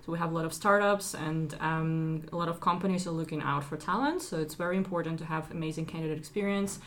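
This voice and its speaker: A woman's voice: low-pitched, fairly even intonation, quick pace, a bit bright. A woman from Ukraine, a recruiting team lead answering interview questions earnestly, with some fillers.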